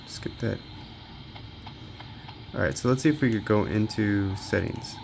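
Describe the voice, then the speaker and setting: A man's voice: fairly low pitch, a little slow, creaky, a little mellow, slight background noise. A man giving casual gameplay commentary as a handheld gaming reviewer, informally thinking aloud as he tests. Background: video game music.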